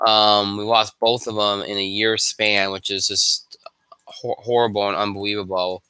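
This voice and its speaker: A man hosting a live sports show alone, congested and a bit hoarse, rambling with lots of fillers and restarts. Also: level tone, normal speed, bright, forceful tone, dry recording. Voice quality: high voice for a man.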